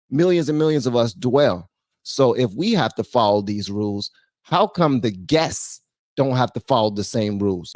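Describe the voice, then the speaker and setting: A man's voice: mid-range voice, darkish tone. Emphatic man hosting reaction commentary. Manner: emphatic, preachy, rhetorical questions. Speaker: commentary channel host.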